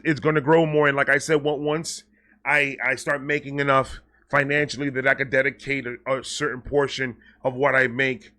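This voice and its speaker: Bright-voiced excitable man vlogging. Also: medium pitch. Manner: excitable, rambling, repeats and stammers on words; casual slangy, swearing. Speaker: online video creator and host. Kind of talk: casual unscripted vlog talk to viewers.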